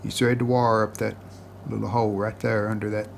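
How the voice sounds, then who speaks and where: Male DIY repair host, lowish voice, matter-of-fact casual delivery, noisy recording. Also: level tone, a little fast. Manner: plain-spoken, matter-of-fact step-by-step explaining. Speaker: restoration video host. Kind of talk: casual hands-on repair narration. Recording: clearly noticeable background noise.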